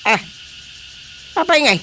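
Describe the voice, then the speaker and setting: A Filipino actor playing a nosy, excitable village chairman in a radio comedy drama, full of exclamations and repeated interjections. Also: extremely high pitch, takes it slowly, a little hiss or hum, heavily acted. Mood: curious.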